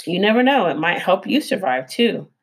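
A woman voicing a dramatic female opossum who fancies herself an actress, talking in a grand, showy way with a husky edge. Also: mid-range voice, big pitch swings, moderate pace. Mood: theatrical.

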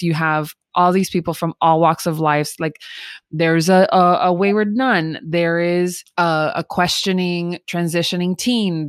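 Low-voiced Cuban American woman. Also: clear, resonant tone, no room sound, studio quality. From United States. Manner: animated, restarts phrases, emphatic. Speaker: guest, theatre company co-founder. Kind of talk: casual podcast interview.

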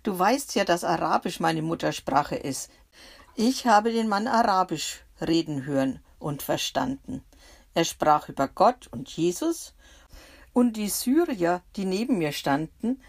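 A woman voicing a calm middle-aged brother-in-law retelling what he heard in a bible story. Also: sits high, unhurried, vocal fry, full character voice.

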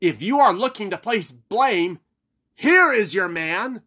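A man voicing an earnest male leader who proclaims and pleads forcefully in a storybook reading. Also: high voice, animated, takes it slowly, breathy edge, voice pushed up, full character voice. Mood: accusing.

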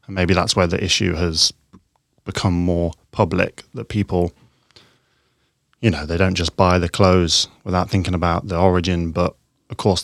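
Low-voiced man, thoughtful podcast host. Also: creaky, dry recording, clean studio sound. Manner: thoughtful, measured, rambling questions. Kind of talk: podcast interview.

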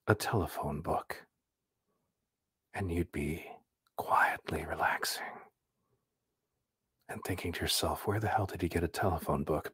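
A man puts on a soothing, velvet-voiced TV painter who murmurs slowly and drops into a near whisper. The voice sits low and soft. The room is dry and close. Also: breathy edge, no echo, heavily acted. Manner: hushed, slow, half-whispered. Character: soft-spoken male painter-style radio crooner, soothing.

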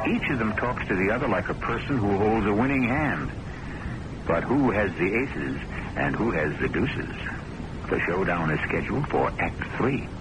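Loud music runs under a man's low voice on an old radio broadcast. He narrates suspense like a seasoned host, measured and portentous, leaning on rhetorical questions. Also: rough recording. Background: dramatic music.

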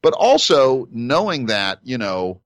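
A man's voice: medium pitch, very animated, takes it slowly, no room sound. A man co-hosting a casual geek podcast, rambling with many restarts and slipping into playful impressions. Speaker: podcast co-host. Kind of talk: casual chat.

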